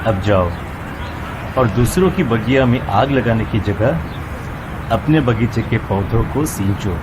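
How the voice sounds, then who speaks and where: A man playing a benevolent, wise elderly temple priest who advises gently in a moral story cartoon. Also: medium pitch, animated, measured pace, noisy background, lightly acted. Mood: gently advising. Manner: fatherly, preaching gently.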